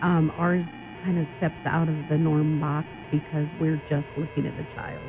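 A woman's voice: low-pitched, level tone, moderate pace. A woman guest on a radio interview, explaining her volunteer program earnestly with many ums. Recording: tinny phone-like broadcast with music.

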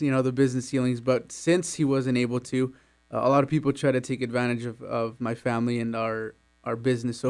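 Hesitant young man with faint hum. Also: mid-range voice. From Mexico. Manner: hesitant, many fillers, laughs often. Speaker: employee interviewed about his immigrant journey. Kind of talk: casual interview.

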